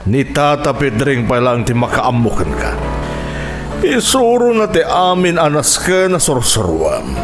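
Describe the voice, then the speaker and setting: A man acting a stern middle-aged father in a radio drama, lecturing firmly. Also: a little high. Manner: firm, lecturing. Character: stern middle-aged father teaching his son hardship.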